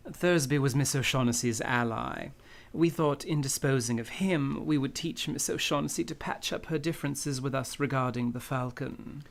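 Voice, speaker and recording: An actor playing a courteous, cunning older criminal mastermind in an old-time radio drama, with florid, formal speech. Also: male voice, medium pitch, moderate pace, gravelly, few pauses, lightly acted. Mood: matter-of-fact. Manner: florid, formal, elaborately polite.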